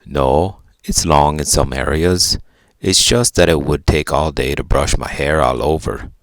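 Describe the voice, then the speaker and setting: A man voicing a laid-back, furry talking chimpanzee, with a deep, gravelly voice and a matter-of-fact manner for a children's storybook. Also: a little slow, dry recording. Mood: deadpan.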